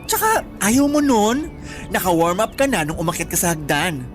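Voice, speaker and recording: A woman's voice: on the low side, very animated, normal speed. An actress playing an exasperated young woman scolding her best friend, sarcastic and insistent, with a Filipino accent, in a radio drama. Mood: nagging. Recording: music bed under the dialogue.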